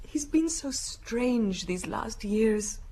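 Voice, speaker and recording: Casting: an anxious, delicate young woman, breathy and faltering, very mobile mid-range voice, radio play with slight noise behind. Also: on the high side, animated, slow pace, warm tone. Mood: hesitant, troubled. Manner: hesitant, breathy, overwrought. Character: anxious, fragile young sister of a missing man. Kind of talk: radio drama acting.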